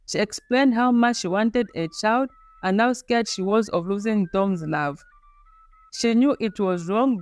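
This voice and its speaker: A woman's voice: mid-range voice, no echo. Woman narrating a folktale over music. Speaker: storyteller.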